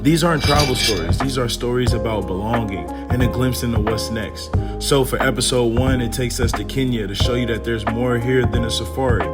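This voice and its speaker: A man's voice: mid-range voice, fairly quick, few pauses. Male series host, mid-range voice, scripted documentary narration, prominent music bed underneath. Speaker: host and interviewer.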